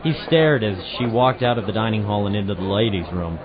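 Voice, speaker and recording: Man playing wry private eye with lively pitch swings. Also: brisk, a crowd in the background, close to an everyday voice. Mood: narrating dryly. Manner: wry, sardonic. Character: hard-boiled, wisecracking male private eye narrating his case. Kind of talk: old-time detective radio drama, narration and dialogue. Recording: muffled amateur radio-drama recording with music.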